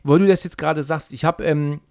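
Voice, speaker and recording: A man with a slightly high voice interviews in a casual, conversational way. He feels his way through sentences, hesitating and rephrasing. The sound is dry, with hardly any room to it. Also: fairly even intonation, brisk, darkish tone, no room sound. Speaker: podcast interviewer.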